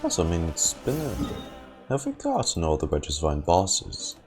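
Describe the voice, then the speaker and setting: A man's voice: low-pitched, huge pitch swings, normal speed, a little mellow. A man giving casual live commentary as a game streamer, rambling and repeating his words and phrases. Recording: game music behind the voice.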